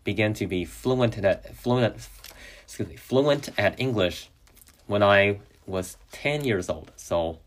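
Young male podcast host, Chinese native speaker, very deep voice, halting delivery with frequent restarts. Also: expressive. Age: young adult. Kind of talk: solo podcast monologue.

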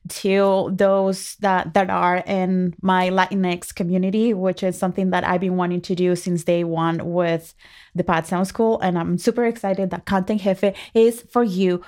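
A woman's voice: fairly low pitch, little pitch movement, a little slow, a little mellow. Woman with a Spanish-speaking accent. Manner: playful, earnest, mixes Spanish words in. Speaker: podcast co-host, marketing expert. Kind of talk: casual podcast chat.

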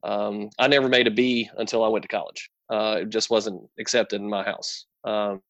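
A man's voice: on the low side, normal speed, no echo, dull audio. Man sharing his story. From North Carolina. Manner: friendly, relaxed storytelling with frequent fillers. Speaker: guest. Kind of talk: casual interview conversation. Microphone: video call.

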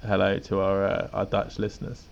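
A man co-hosts a podcast in loose, rambling chat, chuckling as he goes. His voice sits low with a gravelly edge. The recording sounds a little dull, with a faint hiss underneath. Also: monotone, a little fast. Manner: laid-back, rambling, chuckles often. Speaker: podcast co-host. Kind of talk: casual chat.

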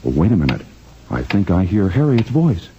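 A man's voice: deep voice, big pitch swings, normal speed. A man playing a genial, wry 1940s radio announcer and narrator with a theatrical manner. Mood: hushed, wry. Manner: theatrical, tongue-in-cheek. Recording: muffled, faintly noisy old radio recording.

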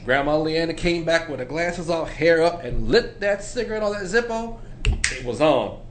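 A man's voice: a little high, moderate pace, a little hiss or hum. A man hosting a casual podcast chat, telling stories and laughing often. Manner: laughs often, animated storyteller. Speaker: podcast host.

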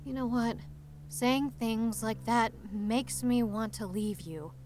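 A woman's voice: on the high side, slow pace, a little mellow, slight background noise. A woman playing a caring but weary young partner, earnest and direct, in a scripted audio drama. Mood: frustrated, pleading.

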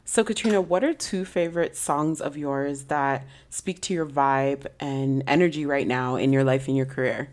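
A woman with a notably low voice hosts a podcast interview. She speaks in a laid-back, slangy, conversational way. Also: moderate pace, hardly any pauses, darkish tone. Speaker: podcast host.

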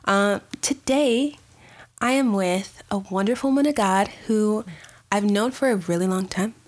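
A woman's voice: mid-range voice, measured pace. A woman hosting a casual interview podcast, encouraging her guest with frequent short affirmations. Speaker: podcast host.

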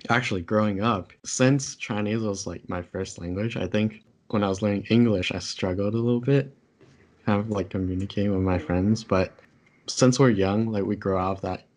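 A young man co-hosting a podcast, talking casually with a slightly low voice. His first language is Taishanese, and he wanders through his points with fillers and restarts. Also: normal speed. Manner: relaxed, many fillers and self-corrections. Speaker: podcast co-host.

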